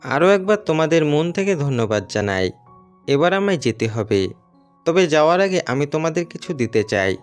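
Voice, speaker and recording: A man voicing a gentle, grateful injured myna bird in a cartoon story, speaking politely and pleadingly. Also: on the high side, sing-song, normal speed. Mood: earnest, thankful. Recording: music behind the voice.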